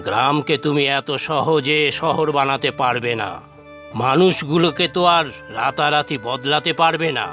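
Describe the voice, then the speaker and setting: A fatherly village chief in a cartoon, with a bright, forceful voice at middle pitch. He speaks with measured dignity and gently admonishes, over faint music. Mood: earnest, reassuring. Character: principled village council chief, calm, fatherly, caring man. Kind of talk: Bengali cartoon dialogue.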